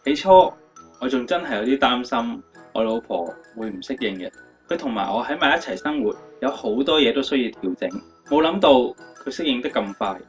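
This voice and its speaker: A male actor playing an affectionate young husband in a Cantonese radio drama, speaking in an expressive, heartfelt way. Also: mid-range voice, a little fast, faint music behind, natural voice. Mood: touched, grateful. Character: affectionate young husband talking about his wife. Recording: slightly dull sound.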